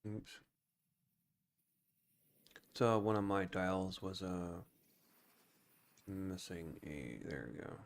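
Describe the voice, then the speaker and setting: A man's voice: low voice, animated, drawn-out words, long pauses. A man giving casual gameplay commentary as he streams a game, at times calling out short voice commands. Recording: clear background noise.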